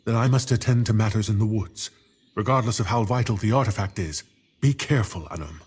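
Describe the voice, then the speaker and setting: An actor playing a wise, loyal, cautious druid in a fantasy game, with a husky voice and a calm, formal advisory manner. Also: male voice, fairly low pitch, brisk, close to an everyday voice. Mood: cautious, advising. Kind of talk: fantasy game cutscene acting.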